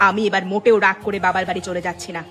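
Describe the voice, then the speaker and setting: A woman's voice: medium pitch, level tone, rapid-fire, fairly bright tone, soft background music, natural voice. A woman playing an aggrieved village housewife in a cartoon drama, scolding emphatically and repeating words for stress. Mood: angry, hurt. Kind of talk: dramatic Bengali cartoon dialogue dubbing.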